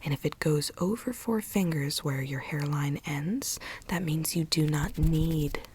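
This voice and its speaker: A woman whispering in a breathy, unvoiced voice, gently role-playing a consultant who explains things to a client in ASMR style. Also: sits low, measured pace, a little hiss or hum.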